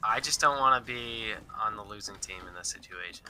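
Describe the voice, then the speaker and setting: A man's voice: on the low side, fairly quick. A man chatting casually as he plays along in a video game. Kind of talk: casual gaming chat.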